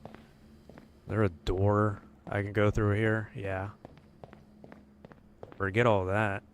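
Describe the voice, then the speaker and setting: A man's voice: lowish voice, flat delivery, slow, a little hiss or hum. A man streaming a horror game, grumbling casually and swearing, wary of what lies ahead. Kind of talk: casual livestream commentary.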